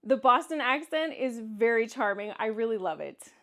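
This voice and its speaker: A woman's voice: on the high side, lively intonation, moderate pace. A woman with a Kansas accent chatting casually in a solo vlog, candid and emphatic as she responds to comments. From Kansas, United States. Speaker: vlogger.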